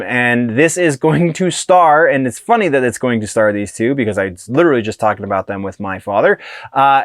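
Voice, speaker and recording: A young American man rattles through TV and streaming news in a chatty, excitable way, stumbling over himself and restarting often. His voice sits at a middle pitch. The sound is dry and close. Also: no room sound. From United States. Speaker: host of a TV and streaming news show. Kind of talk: casual news commentary.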